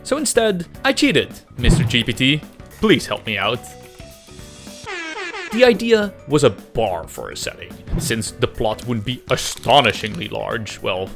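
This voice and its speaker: A man's voice: mid-range voice, very animated, measured pace, creaky, a bit bright, soft background music. A man narrating a scripted, comedic game development video with self-deprecating, exaggerated emphasis and some swearing. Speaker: host, amateur game developer narrating a devlog.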